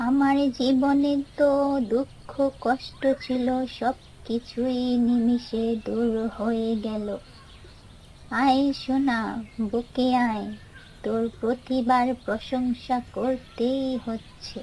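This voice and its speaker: Woman as older cartoon mother, greedy, effusive, high-pitched, slow drawling delivery, theatrically doting. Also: fairly even intonation, darkish tone, a little hiss or hum, low-quality recording. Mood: gloating delight. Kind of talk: dramatised cartoon fairy-tale dialogue. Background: background music.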